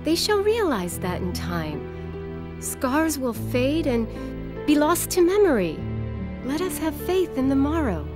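Game role: resolute young noblewoman, fairly high voice, formal, earnest, music behind. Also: sing-song, slow, exaggerated voice. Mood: gently hopeful. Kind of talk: dramatic video game voice acting.

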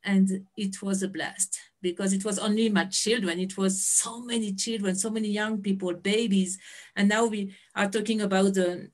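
A middle-aged woman with a French accent speaks into a headset on a video call. She tells her stories with lots of laughs and lively gestures. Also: medium pitch, moderate pace. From France. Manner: animated storyteller, laughs often, waves hands. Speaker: guest, education founder.

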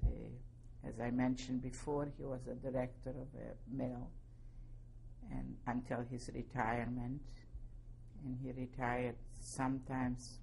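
A woman in her seventies from Poland, giving oral history testimony slowly and carefully, spelling out names. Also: very deep voice, creaky, darkish tone, slight background noise, low-quality recording. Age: 70s. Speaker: interviewee.

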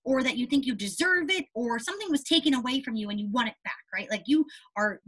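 A young woman speaks as a primary school teacher leading a read-aloud. Her voice sits a little high with a husky, unpolished edge. She is expressive and emphatic, repeating key words and checking in with her young listeners. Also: fast. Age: young adult. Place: small indoor room with a whiteboard.

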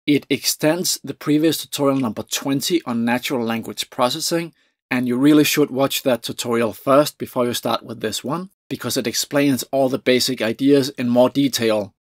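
A man with a Danish accent giving a calm, methodical coding lesson over a screen recording. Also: medium pitch, moderate pace, no echo, clean studio sound. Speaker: tutorial presenter.

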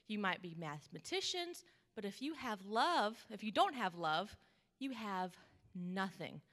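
Young woman talking to kids in a big echoey church, really lively up and down, highish voice. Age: young adult. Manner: animated, encouraging, addresses children with questions. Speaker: children's message leader. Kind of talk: children's sermon, interactive talk. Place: large church sanctuary. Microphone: handheld microphone. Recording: reverberant church hall, live PA.

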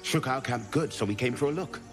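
A man playing a gruff, terse scout in a fantasy game. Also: medium pitch, a little fast, soft background music, natural voice. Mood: gruff, reserved. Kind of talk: cinematic fantasy game acting.

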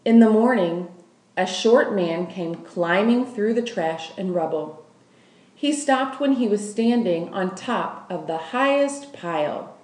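Slow storybook woman in an echoey room. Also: mid-range voice, lively intonation, a little mellow. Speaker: school staff member reading aloud. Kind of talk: scripted children's novel narration. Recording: roomy, echoing room sound.

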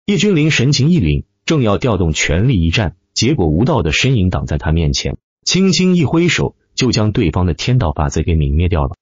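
Male audiobook narrator, low and husky, theatrical scripted delivery, close dry sound. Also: huge pitch swings, fairly quick, hardly any pauses, dry recording, dull audio. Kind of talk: dramatic scripted web-novel narration.

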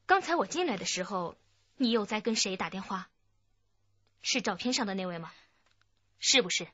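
A woman's voice: mid-range voice, very animated, normal speed, fairly bright tone, dry recording, lo-fi sound. An actress playing a firm, probing female police detective in a radio drama. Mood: stern, probing.

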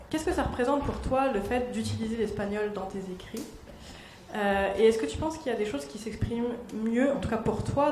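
A woman moderates a panel, reading slowly and carefully before asking her question into a handheld mic on a small stage. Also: a little high, darkish tone. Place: small hall stage with audience. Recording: roomy hall sound, faint noise.

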